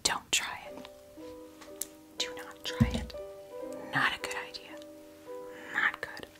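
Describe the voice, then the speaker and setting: A woman chatting in a breathy, creaky whisper for an ASMR unboxing video, rambling hesitantly through little asides as the host. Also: slow pace. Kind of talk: ASMR, soft rambling chat. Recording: music playing behind the voice. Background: clear background music.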